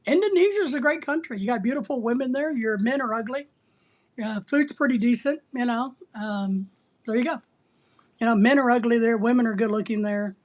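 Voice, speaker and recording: A livestream host from the United States with a husky voice, chatting casually and bluntly while teasing viewers and rambling through answers to their comments. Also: medium pitch, fairly quick. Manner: blunt, teasing, sometimes breaking into playful exclamations.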